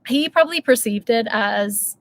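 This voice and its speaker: A woman's voice: fairly high pitch, moderate pace, fairly bright tone. A woman, telling a long candid story in a casual vlog, full of asides and self-corrections. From United States. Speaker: vlogger.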